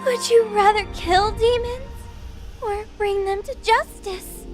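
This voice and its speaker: A woman plays a gentle young mother in an audio drama, with a very high, girlish voice. She speaks slowly and softly, earnest and tender, over faint music. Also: fairly even intonation. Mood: tearful, earnest. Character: gentle devout young mother, tearful yet doting.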